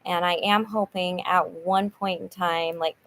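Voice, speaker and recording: A middle-aged woman with a slightly low voice talks through a casual livestream. She is chatty and upbeat, and her talk is full of fillers and quick restarts. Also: fairly even intonation, fairly bright tone, a little hiss or hum, low-quality recording. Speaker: channel host, nonprofit volunteer. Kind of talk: casual livestream chat reading viewer comments.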